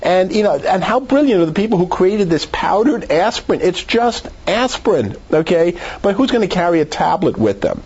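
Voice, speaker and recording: A man's voice: high-pitched, sing-song, normal speed, hardly any pauses, darkish tone, husky, lo-fi sound. A man speaking as a medical expert in an interview, giving conversational advice in an emphatic, persuasive way. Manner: emphatic, persuasive, rhetorical questions. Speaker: doctor, expert guest.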